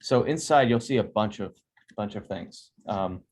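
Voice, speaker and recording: A man's voice: on the low side, moderate pace, a little mellow. Chatty man on a headset call. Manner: upbeat, chatty, laughs often. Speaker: host. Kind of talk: casual video call explanation. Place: home office room. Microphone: over-ear headset on a video call.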